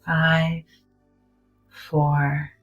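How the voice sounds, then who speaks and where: A woman leading a guided meditation in a soft, gentle, soothing manner, with long pauses and moments that sink almost to a whisper. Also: sits low, level tone, muffled recording, faint music behind.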